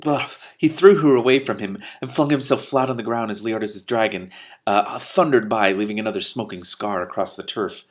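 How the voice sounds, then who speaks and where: A man's voice: fairly low pitch, moderate pace. A man reading a fantasy story aloud expressively, stumbling and rereading at times, with off-the-cuff asides. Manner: animated in dialogue, stumbles and rereads. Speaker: reader giving commentary on a book.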